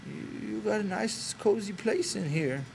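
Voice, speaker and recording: A man's voice: sits high, animated, unhurried, creaky, a little mellow, slight background noise, pitched above the performer's own voice, full character voice, low-quality recording. A man voicing an old, wistful and stubborn American ranch hand with earnest, folksy talk, for an audiobook. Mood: shy. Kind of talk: dramatic audiobook character voice.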